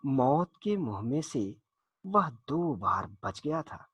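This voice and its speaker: A man narrating a folktale for children in an expressive, deliberate storytelling manner with dramatic pauses. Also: mid-range voice, husky, no room sound, highs cut off.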